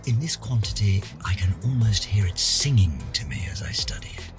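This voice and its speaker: A man's voice: lowish voice, sing-song, moderate pace, over music. An actor voicing a pompous, vain older British scientist, theatrically narrating his journal for a game with haughty, florid melodrama. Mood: fascinated. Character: pompous, vain, aging male scientist, indignant and obsessive.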